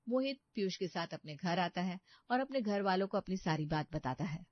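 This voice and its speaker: A woman's voice: fairly low pitch, expressive, moderate pace. A woman reading scripted narration for a cartoon story. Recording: muffled dry close recording.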